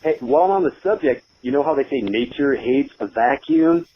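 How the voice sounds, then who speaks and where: A man's voice: mid-range voice, expressive, brisk, breathy edge. A man playing a griping, chatty small-town caller, talking casually over a phone line to a radio show. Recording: muffled lo-fi phone call.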